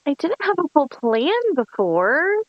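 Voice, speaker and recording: A woman's voice: high-pitched, very animated, takes it slowly, dull audio, heavily acted. A woman playing a bossy, sarcastic young woman scheming a prank in a screenplay table read. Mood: teasing, sly. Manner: sarcastic, foul-mouthed, commanding.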